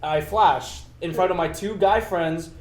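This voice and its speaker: A young man whose first language is Tagalog, telling school stories in casual chat, animated and laughing. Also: high voice, measured pace, noisy background. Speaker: podcast co-host. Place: room with friends around a table. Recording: echoey room with chatter behind.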